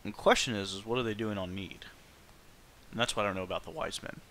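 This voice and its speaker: A man's voice: on the low side, quick pace, a bit bright, a little hiss or hum. A man giving casual commentary while playing through a video game. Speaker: game streamer.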